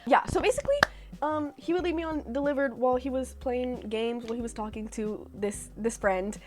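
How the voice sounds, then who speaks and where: A young woman from California telling stories in a chatty, animated way with sarcastic asides, reacting to her old posts. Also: high-pitched, brisk. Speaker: vlogger. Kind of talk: casual reaction vlog storytelling.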